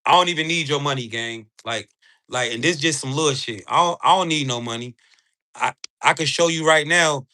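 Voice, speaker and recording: A man giving an informal, emphatic livestream sales pitch to his chat, talking in slang with frequent tag words. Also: pushed and bright. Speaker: live streamer selling spiritual ebooks.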